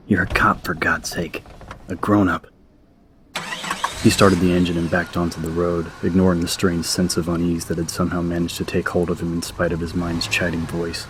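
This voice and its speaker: A man's voice: sits low, slight background noise. A man narrating an audiobook from a script in a dramatic story style.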